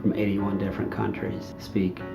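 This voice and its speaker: A man speaking as a school dean in a documentary interview, in a measured, formal way. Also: on the low side, monotone, gravelly. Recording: soft music underneath.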